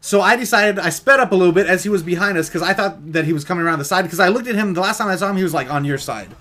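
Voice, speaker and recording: A young American man with a high voice for a male speaker, chatting quickly and informally. He rambles through a casual story in a jokey, conversational way. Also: a bit bright. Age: young adult. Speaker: vlogger telling a story.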